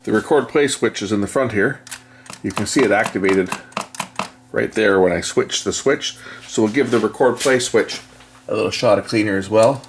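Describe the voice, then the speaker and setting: A man's voice: fairly low pitch, moderate pace, slight background noise. A man giving a casual, hands-on repair demonstration as a technician, explaining things in a matter-of-fact way. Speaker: electronics repair technician and video host.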